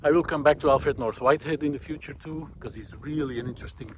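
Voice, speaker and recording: A man with a mid-pitched voice talks reflectively while walking outdoors. His delivery is thoughtful and halting, with pauses as he searches for words. Faint outdoor noise sits behind him. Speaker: host of a walking video series. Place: outdoors on a country road in hilly farmland. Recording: outdoor recording with clear background noise. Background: outdoor ambient noise.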